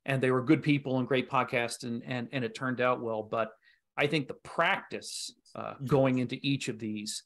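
Male, middle-aged, medium pitch. Informal, rambling interview guest with a salty streak, on a video call. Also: fairly even intonation, normal speed. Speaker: guest, audio drama voice actor. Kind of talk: casual interview chat. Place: indoor room, video call.

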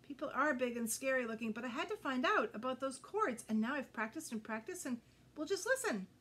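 Woman reading as an eager young male mongoose, high-pitched. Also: animated, a little fast, fairly bright tone, slightly rough, heavily acted. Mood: proud, earnest. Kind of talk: storybook read-aloud.

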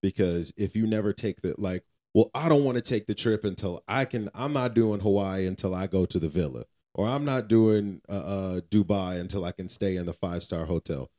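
A man's voice: low voice, a little fast, few pauses, warm tone. A man co-hosting a faith podcast, talking casually in an earnest, exhorting way and repeating phrases for emphasis. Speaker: podcast co-host.

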